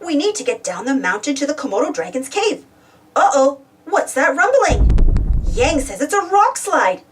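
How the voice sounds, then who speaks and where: A woman reading a storybook aloud to children in an expressive, exclamatory way, putting questions to her young listeners. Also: high voice, normal speed, slight background noise. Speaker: storybook reader. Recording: distant from the mic.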